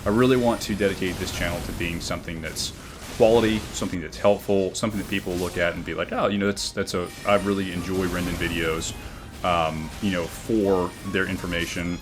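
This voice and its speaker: A man with a booming voice talking earnestly about his gaming channel, often reflective, with frequent fillers and restarts. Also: steady tone, a little fast, music in the background. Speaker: gaming YouTuber. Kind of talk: game commentary and channel talk.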